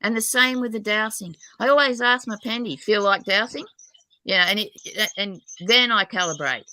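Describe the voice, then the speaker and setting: A woman's voice: mid-range voice, normal speed, bright, forceful tone, no echo, rough recording. A woman from New South Wales with a broad Australian accent, chatting casually in a candid, self-deprecating, rambling way as a guest. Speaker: guest dowser. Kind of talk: casual chat.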